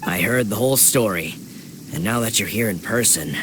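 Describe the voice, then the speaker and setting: An actor playing a brash, earnest leader of village hunters in a video game, with a hearty, emphatic delivery. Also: male voice, on the low side, normal speed, noisy background, natural voice. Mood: stern. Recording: game music behind.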